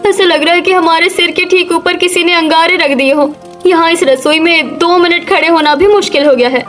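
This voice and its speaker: A woman's voice: very high-pitched, steady tone, moderate pace, a bit bright, faint music behind. A woman voicing a pointed, complaining young village daughter-in-law in a cartoon story. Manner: pointed, plaintive.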